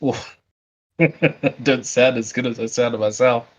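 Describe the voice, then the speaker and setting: A man's voice: mid-range voice, steady tone, measured pace. A man co-hosting a casual talk show, joking with mock outrage and restarting his sentences. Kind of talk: casual chat.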